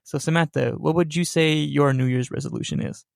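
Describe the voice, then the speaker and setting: A man's voice: on the high side, steady tone, brisk, gravelly, dry recording, studio quality. A young man hosting a casual supernatural podcast, rambling with fillers and tag questions like "right?". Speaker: podcast host.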